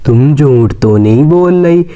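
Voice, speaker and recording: A man's voice: mid-range voice, animated, measured pace, dark tone, close to an everyday voice. A man voicing a suspicious, scornful crow who taunts grudgingly in a cartoon story. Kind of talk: Hindi moral-story cartoon dialogue.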